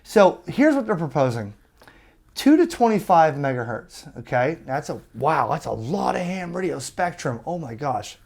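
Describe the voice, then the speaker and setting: A man's voice: a little high, big pitch swings, normal speed, creaky, darkish tone. A man giving an opinionated, exasperated video rant to his viewers in a blunt, emphatic way. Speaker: ham radio YouTuber.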